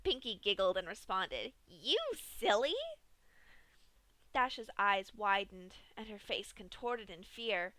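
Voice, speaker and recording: Young female story reader, bright and higher voice, dry close mic. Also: measured pace, few pauses, no room sound. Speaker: fanfic reader. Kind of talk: dramatic story reading.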